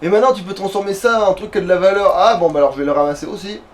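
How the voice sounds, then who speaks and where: A man's voice: high-pitched, rapid-fire. A man giving casual let's play commentary, rambling in loose slang and swearing freely. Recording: faint background noise.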